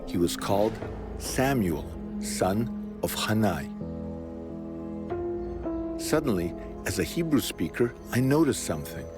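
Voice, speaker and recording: A man narrating a documentary in a measured, earnest, slightly dramatic scripted delivery. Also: on the low side, sing-song. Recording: voice-over with music bed.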